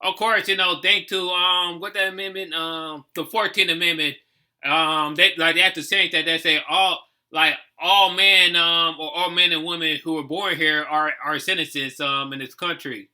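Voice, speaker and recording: An American man giving casual, unscripted reaction commentary, halting and emphatic, with some swearing. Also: fairly high pitch, steady tone, sharp tone. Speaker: reaction video host.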